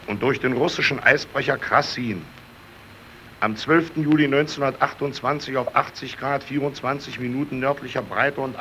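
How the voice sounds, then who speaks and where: A man's voice: medium pitch, sharp tone, a little hiss or hum, poor audio. A man playing a formal reader of official letters in a radio play, precise and solemn. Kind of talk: scripted documentary reading in radio play.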